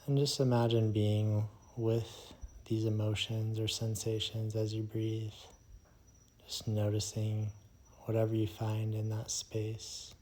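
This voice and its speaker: A man leading a guided meditation in a soothing, hushed manner, leaving long pauses between phrases. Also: fairly low pitch, monotone, slight background noise.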